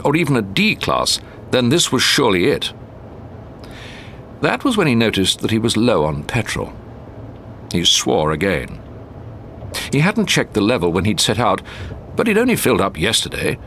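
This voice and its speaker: A British man reading a short story as an audiobook narrator, in a measured, expressive storytelling manner. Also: medium pitch, a little hiss or hum.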